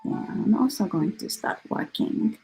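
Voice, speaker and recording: A woman's voice: mid-range voice, expressive, unhurried, mellow, soft background music, poor audio. A woman hosting a live online workshop in an informal, slightly hesitant way. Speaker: workshop host. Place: online video session.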